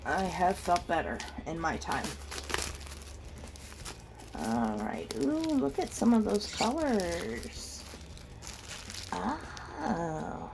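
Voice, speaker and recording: A woman with a slightly rough, under-the-weather voice, giving a chatty, casual unboxing commentary as host of an art channel. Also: on the low side, big pitch swings, extremely slow, pauses often, noisy background. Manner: chatty, reacts aloud with small exclamations. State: rough voice from being ill.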